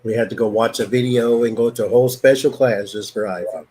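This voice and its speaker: Husky-voiced live stream host. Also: male voice, medium pitch, steady tone, fairly quick, a little mellow. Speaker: host and psychic reader. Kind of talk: casual live stream chat.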